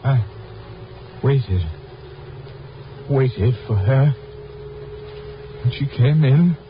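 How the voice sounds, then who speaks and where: An actor playing a tormented amnesiac man in a 1950s radio drama, speaking in a halting, dazed way. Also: mid-range voice, lively intonation, a little hiss or hum. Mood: entranced, halting. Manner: halting, dazed, trance-like delivery. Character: tormented amnesiac mentalist reliving a lost love, man. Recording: muffled old radio broadcast, music behind.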